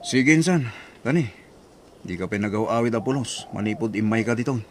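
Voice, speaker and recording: A man with a Filipino accent playing an easygoing, generous cousin in a radio drama, relaxed and obliging. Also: fairly low pitch, fairly quick, a little hiss or hum, natural voice.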